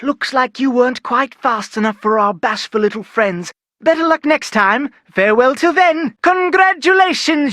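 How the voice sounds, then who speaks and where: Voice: sits high, a bit bright, no echo, studio quality. An actor voicing a playful young girl in a video game, speaking in a theatrical, conspiratorial storytelling manner. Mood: regretful, then congratulatory. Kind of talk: scripted video game dialogue.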